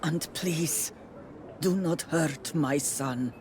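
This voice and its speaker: A protective, earnest village mother from a video game, guarded and worried. Her words come very slowly and plainly, with faint noise behind them. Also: deep voice, darkish tone. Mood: worried, resigned. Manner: deliberate, plain-spoken. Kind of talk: video game dialogue acting.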